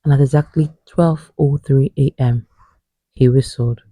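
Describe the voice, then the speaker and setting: A man narrating a scripted horror folktale as a storyteller, in a grave, suspenseful manner with a husky voice. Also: medium pitch, fairly even intonation, very noisy. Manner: slow, grave, suspenseful delivery.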